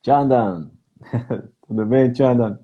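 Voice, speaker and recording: Halting man on a dry mic. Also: medium pitch, breathy edge, no echo, narrow, tinny audio. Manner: hesitant, often restarts and repeats words. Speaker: host of an online live stream. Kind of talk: casual live chat.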